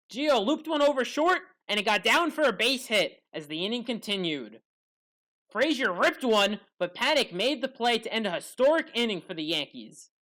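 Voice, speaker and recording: A woman delivering scripted baseball highlights commentary with emphatic play-by-play delivery. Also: fairly bright tone.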